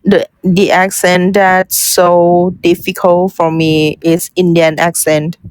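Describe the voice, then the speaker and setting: A woman's voice: on the low side, flat delivery, slow, a little mellow, dry recording. A young woman from Thailand speaking English with a Thai accent in casual conversation, hesitant and often restarting as she searches for words. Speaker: guest, content writer.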